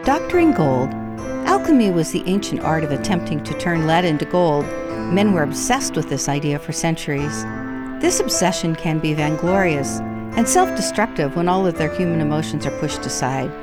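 Expressive low-voiced woman announcer over loud music. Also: moderate pace. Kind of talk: scripted radio drama introduction.

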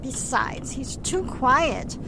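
A woman's voice: high voice, very animated, slow pace, gravelly, background noise, full character voice. A woman playing a stern scolding mother. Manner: scolding, forbidding. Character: stern, disapproving older mother, landlady. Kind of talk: amateur radio drama.

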